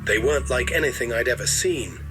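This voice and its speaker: A man playing an awed eyewitness, halting with wonder, in a mystery audio drama. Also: mid-range voice, close to an everyday voice. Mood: awed, unsettled. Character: awed male eyewitness of strange lights. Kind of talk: acted eyewitness testimony. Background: music.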